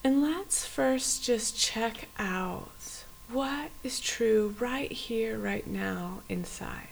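A woman guiding a body scan meditation in a soft, often near-whispered voice, with gentle, unhurried phrasing and pauses. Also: a little high, vocal fry. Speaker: meditation guide. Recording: faint background hiss.

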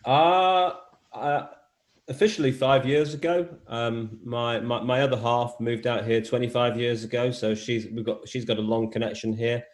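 A man's voice: mid-range voice, fairly even intonation, moderate pace. A middle-aged man from England with a faint English accent, answering interview questions with many fillers and restarts. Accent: English, softened by years in Australia. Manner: hesitant, many fillers and repeated words. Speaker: interview guest, men's health advocate.

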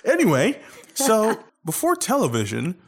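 A young man co-hosting a casual podcast, animated and jokey, with quick bursts of speech. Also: high-pitched. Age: young adult. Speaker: podcast co-host.

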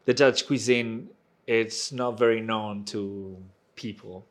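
A man's voice: on the low side, steady tone, slow. Hesitant Chilean man in his forties. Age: 40s. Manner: hesitant, restarts phrases, dry humor. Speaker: guest. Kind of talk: casual interview chat.

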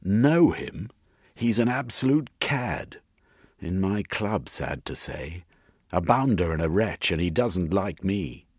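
A man voicing an earnest, decent British gentleman in an audiobook, with proper, old-fashioned upper-class phrasing. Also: sits low, very animated, slow, breathy edge, no echo, studio quality, exaggerated voice. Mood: indignant. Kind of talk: audiobook character voice.

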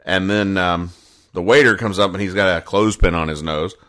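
Man, slightly low voice, casual podcast host, wry, mock-earnest, halting conversational delivery. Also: a bit bright. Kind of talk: casual comedic retelling of newspaper comics.

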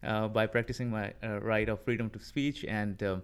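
A man in his thirties from Pakistan with a Pakistani accent, speaking haltingly with frequent fillers as a podcast interview guest. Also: lowish voice, steady tone, clear, resonant tone. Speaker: interview guest, political commentator.

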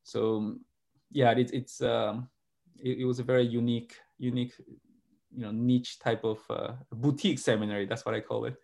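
Halting male guest from Argentina. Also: mid-range voice, little pitch movement, creaky, darkish tone. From grew up in Argentina. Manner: halting, repeats words and restarts often. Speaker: guest, pastor and sociologist. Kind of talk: conversational interview answers.

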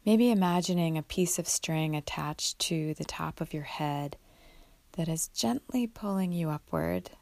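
Casting: female meditation guide, low register, gravelly fry at the edges, gentle unhurried delivery, close dry sound. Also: dry recording. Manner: gentle, unhurried, with long pauses. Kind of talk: guided mindfulness meditation, instructional.

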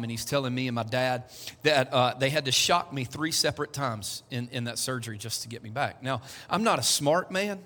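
A man's voice: medium pitch, sharp tone. A man, preaching a sermon to his congregation in a conversational way, with joking asides. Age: 40s. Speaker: pastor. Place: church hall. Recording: echoey church hall.

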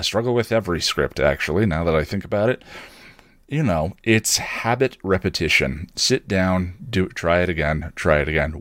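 Deep-voiced man, halting reflective monologue. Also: no room sound, studio quality. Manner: halting, self-questioning, thoughtful. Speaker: podcast host and video creator. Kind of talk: casual reflective solo monologue.

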